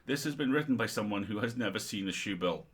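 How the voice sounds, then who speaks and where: A man's voice: on the low side, expressive, fast. A middle-aged man co-hosting a livestream, reading a humorous article aloud with wry, playful and sweary asides.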